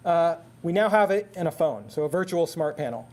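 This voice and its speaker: A man's voice: on the high side, animated, brisk, darkish tone. A man presenting a technical product at a seminar in a conversational, explanatory way. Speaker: product presenter for an intercom manufacturer.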